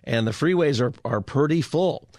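A hoarse, raspy male radio talk host chatting casually from his studio, rambling with restarts. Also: medium pitch, animated, normal speed, a little mellow, dry recording. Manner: meandering, lots of fillers and restarts. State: hoarse from a cold. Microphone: studio mic.

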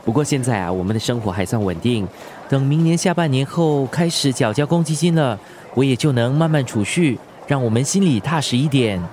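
A man's voice: mid-range voice, brisk, darkish tone, natural voice. An actor playing a good-natured, hardworking expectant father in a radio drama, easygoing and chatty. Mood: cheerful, content. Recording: clear street and traffic noise.

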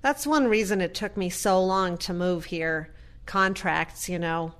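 A woman's voice: lowish voice, unhurried, fairly bright tone. A woman playing a caring, hesitant adult daughter in an audio play, tentative and earnest.

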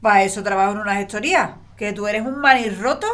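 A practical Andalusian mother, acted by a woman, runs the household briskly in a mid-range voice. She is wry and bossy in this audio sitcom, with pots and plates faintly clattering. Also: medium pitch, a bit bright, natural voice. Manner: brisk, matter-of-fact, wry. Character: practical, bossy, level-headed working mother. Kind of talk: audio drama family sitcom acting. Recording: clattering dishes and cutlery noise.

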